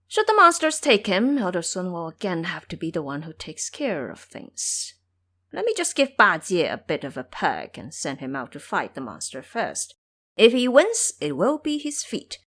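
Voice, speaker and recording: A cocky, crafty monkey hero of an audio drama, acted by a woman. The delivery is boastful and theatrical, with pitch that leaps and dips freely as he schemes. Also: fairly bright tone. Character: cocky, scheming male monkey warrior, called great sage.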